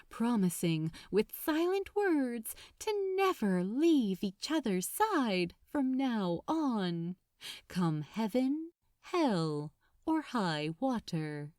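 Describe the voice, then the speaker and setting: High-voiced, expressive woman narrating a story. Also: no room sound, studio quality. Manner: expressive, unhurried, lingering on phrases. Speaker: audiobook-style narrator of fan fiction. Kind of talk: dramatic scripted story narration.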